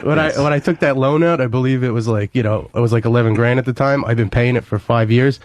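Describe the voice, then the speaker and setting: A man's voice: medium pitch, fast talker, dry recording. A young man, a little nasal from a cold, talking hesitantly and self-deprecatingly as an intern in a radio studio. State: getting over a cold. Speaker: intern and student radio host. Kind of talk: casual radio chat.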